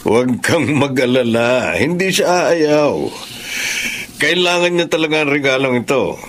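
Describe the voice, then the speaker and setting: Man acting smooth-talking confident boss, tagalog radio drama, medium pitch, slight noise. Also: measured pace, a bit bright, natural voice. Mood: assured, commanding. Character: generous, self-assured male boss.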